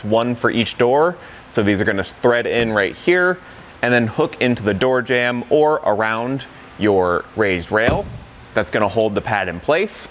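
A man's voice: mid-range voice, fairly bright tone, slight background noise. A young man plainly walking through a product demo as reviewer, candid about its weak points, in an indoor studio bay. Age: young adult. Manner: plain-spoken, candid, walks through details.

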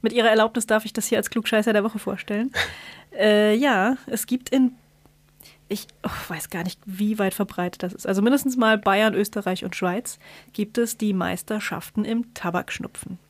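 A woman with a medium-pitched voice talks as a podcast co-host. She explains things casually, as if chatting across the table with her partner. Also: steady tone, normal speed.

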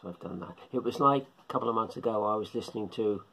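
Husky, gravelly middle-aged Englishman musing. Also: on the low side, fast, fairly bright tone, poor audio. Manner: rambling, musing, repeats himself. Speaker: shortwave radio hobbyist vlogger. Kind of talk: casual talk to camera. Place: small indoor living room. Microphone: phone or webcam at close range.

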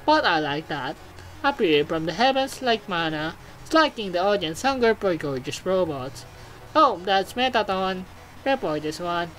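A woman's voice: on the low side, expressive, normal speed, a bit bright, rough recording. Woman reading as a flamboyant robot TV presenter. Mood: excited, showy. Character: flamboyant showbiz robot TV news presenter, gushing and vain.

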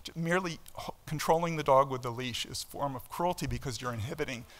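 An older man delivers a keynote from a lectern. His pitch sits in an ordinary middle range for a man. He explains things conversationally, leaning on key words and tossing out rhetorical questions and asides. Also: fast talker, fairly bright tone. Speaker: professor giving a keynote lecture. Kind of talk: conference lecture, explanatory and conversational. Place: indoor auditorium stage at a lectern.

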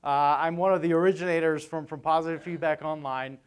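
A man's voice: fairly high pitch, fairly even intonation, brisk, clear, resonant tone. A man in his forties from Austria, giving an informal, joking conference talk in a conversational way. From Vienna, Austria. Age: 40s. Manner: conversational, joking, often tags sentences with "right?". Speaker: seminar speaker. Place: hotel meeting room, on stage at a lectern. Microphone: lapel mic.

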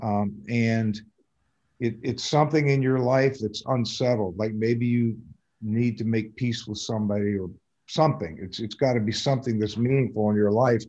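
An older man chatting casually on a video call, plain-spoken and halting. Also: medium pitch, fairly even intonation, a little mellow. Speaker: actor in a community theatre production. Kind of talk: casual reflective chat. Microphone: video call computer mic. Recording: muffled video call audio.

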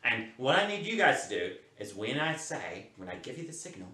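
Young man playing an animated, mischievous New boy. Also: mid-range voice, a little fast, voice pushed down. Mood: scheming, excited. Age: young adult. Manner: exaggerated, conspiratorial, talking to viewers. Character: mischievous, bored boy, scheming big brother. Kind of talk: playful children's storytelling performance. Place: plain indoor room. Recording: roomy sound, off the mic.

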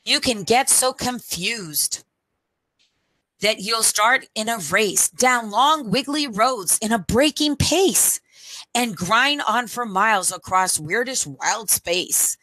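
Storytime reader: middle-aged woman, bright forward tone, unhurried deliberate phrasing, headset mic. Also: on the high side, dry recording, poor audio. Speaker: storyteller reading to children. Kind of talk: read-aloud picture book storytime. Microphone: headset microphone.

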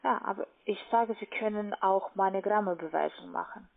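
A woman's voice: mid-range voice, creaky. Russian-accented woman on a tinny phone line. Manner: halting, non-native German phrasing. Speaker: call-centre broker, phone scammer. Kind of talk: unscripted phone argument. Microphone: phone call.

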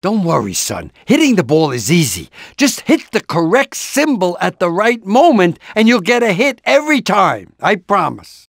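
A man's voice: sits high, huge pitch swings, normal speed, few pauses, no room sound, studio quality, full character voice. A man voicing an anxious, encouraging, portly middle-aged rooster father in a cartoon video game, with a hesitant, rambling, fatherly manner. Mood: reassuring. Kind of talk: animated video game character lines.

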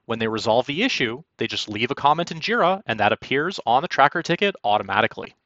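A man presenting a software walkthrough as polished, instructional scripted narration. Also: medium pitch, very animated, fast talker, dry recording, muffled recording. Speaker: product demo presenter. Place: quiet, dry room, recorded as voice-over.

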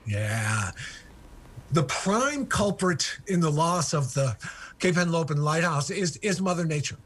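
Older male guest author, husky, eager, filler-heavy storyteller on a video call. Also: a little high, animated, unhurried, fairly bright tone. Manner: enthusiastic, many ums and uhs. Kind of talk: remote interview storytelling.

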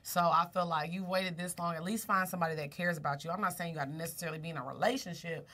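A young woman co-hosting a podcast in a small studio, a little hoarse, talking loudly and playfully with plenty of swearing. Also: fairly low pitch, steady tone, very fast, slight background noise. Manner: loud and playful, swears freely. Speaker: podcast co-host. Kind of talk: casual chat.

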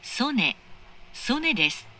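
Measured, bright woman announcing on a train. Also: fairly high pitch, sing-song. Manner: formal, measured, precise enunciation. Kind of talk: scripted automated station announcement. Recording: clear train noise, recorded inside carriage. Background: moving train interior noise.

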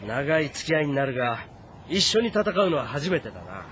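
A man's voice: mid-range voice, very animated, moderate pace, noisy background, natural voice. A man playing an anxious, weary fortress commander of ancient China in a dramatic, earnest, brooding way. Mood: troubled. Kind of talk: dramatic game voice acting with inner monologue.

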